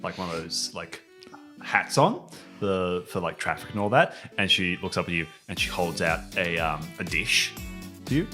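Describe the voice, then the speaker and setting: A man runs a tabletop game as game master. His pitch moves around a great deal as he improvises narration, often pausing to hunt for the next word. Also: animated, bright, forceful tone, soft background music. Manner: halting, searching for words.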